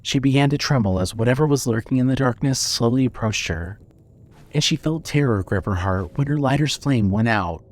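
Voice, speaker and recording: A man's voice: mid-range voice, animated, a little fast. A man reading scripted material as the narrator and announcer of a fiction podcast.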